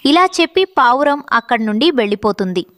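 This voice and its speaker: High-pitched woman narrating a children's story. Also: expressive, a little fast, a bit bright, no echo.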